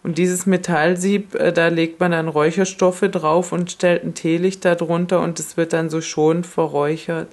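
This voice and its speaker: A woman's voice: fairly low pitch, level tone, normal speed, few pauses, a little mellow. A woman hosting a podcast, chatting casually and rambling with many fillers and restarts. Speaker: podcast host.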